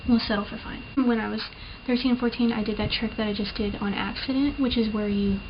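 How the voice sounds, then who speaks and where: A young woman talks through her makeup at home in a chatty, rambling way, often joking at her own expense. Her voice sits a little high and carries a slight lisp. The built-in camera mic sounds dull and muffled, with a clear layer of hiss under everything. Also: steady tone, fairly quick. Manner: chatty, self-deprecating, rambling with fillers. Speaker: beauty vlogger. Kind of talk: casual makeup tutorial chat.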